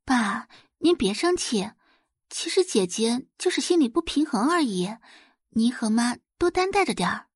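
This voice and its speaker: A woman's voice: on the high side, very animated, a little slow, breathy edge, dry recording, clean studio sound, exaggerated voice. Woman voicing a coaxing, sweetly scheming young heiress. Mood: soothing, considerate. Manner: gentle, coaxing. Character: sweet-talking, scheming young rich-family daughter, soothing and falsely considerate.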